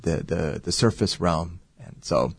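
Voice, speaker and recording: A man who is a priest, talking earnestly and reflectively in an interview and sometimes pausing to search for words. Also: deep voice, slightly rough, no echo, studio quality. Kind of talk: casual interview talk.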